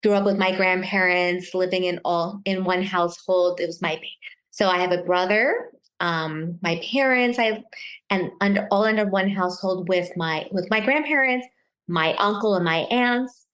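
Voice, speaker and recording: A middle-aged woman born in Taiwan, talking casually as an interview guest, often pausing and restarting her sentences. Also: fairly low pitch, a bit bright. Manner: hesitant, many restarts and fillers.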